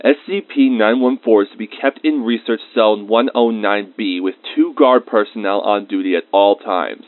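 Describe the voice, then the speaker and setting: A man's voice: lowish voice, moderate pace, no room sound, lightly acted. A man playing an easily irritated researcher, dictating lab log entries as scripted narration in an audio drama. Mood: formal, clinical. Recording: muffled, band-limited close dry recording.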